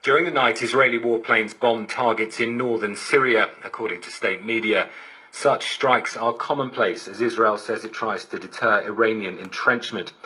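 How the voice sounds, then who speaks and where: Low, bright, grainy male reporter. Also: fairly even intonation, normal speed, breathy edge, poor audio. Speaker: news correspondent. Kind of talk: scripted news report. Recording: digital shortwave radio broadcast reception.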